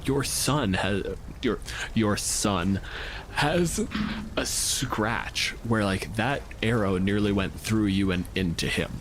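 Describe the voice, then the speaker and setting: A man narrating as game master of a tabletop game in an animated, casual way, ready to swear. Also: lowish voice, takes it slowly, slight background noise, low-quality recording.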